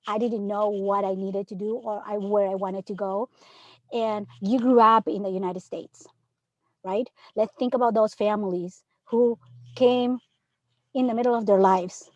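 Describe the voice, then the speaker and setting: A woman from Peru who teaches third grade speaks earnestly on a panel, pressing her points with rhetorical questions. Her voice sits a little high. The sound is dry and close. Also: a little mellow, no room sound, highs cut off. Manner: earnest, emphatic, many rhetorical "right?". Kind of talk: panel discussion.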